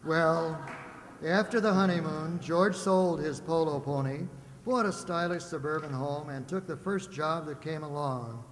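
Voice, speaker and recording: A man's voice: fairly high pitch, a little slow. A man narrating a scripted old-time radio comedy as a theatrical announcer. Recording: echoey hall with faint noise.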